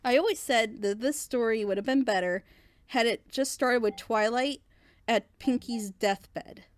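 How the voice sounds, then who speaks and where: Higher-pitched woman, emphatic and critical. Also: unhurried, dry recording. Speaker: reaction show panelist. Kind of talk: opinionated casual review discussion.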